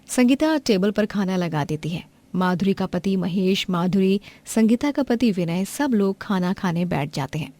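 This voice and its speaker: A woman's voice: medium pitch, animated, moderate pace, few pauses, mellow, no echo. A woman giving plain, scripted narration for a cartoon moral story.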